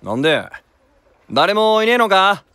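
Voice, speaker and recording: An actor playing a brash, excitable young monk in a radio drama, boisterous and casual. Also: male voice, high-pitched, measured pace, heavily acted. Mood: startled. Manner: boisterous, casual, reacts big. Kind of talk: Japanese radio drama acting.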